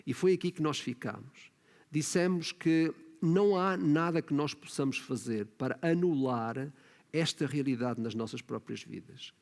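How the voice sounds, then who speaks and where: A man's voice: a little high, a little slow, creaky, a little mellow. A man with a European Portuguese accent preaching a sermon as pastor, often adding tag questions and rising to emphatic points. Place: church hall. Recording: long reverb of a church hall.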